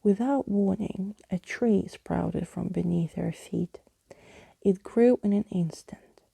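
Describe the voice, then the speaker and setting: Mellow, creaky-voiced woman reading softly, ASMR style. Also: medium pitch, takes it slowly, dry recording. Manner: soft, hushed, lapsing into whispers. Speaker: storyteller reading a book to listeners. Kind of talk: ASMR bedtime story reading. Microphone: close microphone.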